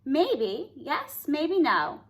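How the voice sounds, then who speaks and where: A woman doing a brisk, bossy old fairy godmother. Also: sits high, sing-song, voice pushed up, full character voice. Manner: bossy, brisk, drawling ranch slang.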